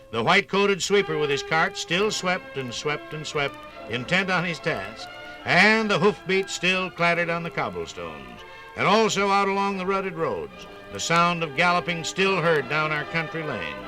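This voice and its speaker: A man narrating a scripted 1950s radio program in a theatrical, folksy storytelling manner with drawn-out emphasis. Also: a little high, big pitch swings, over music, rough recording.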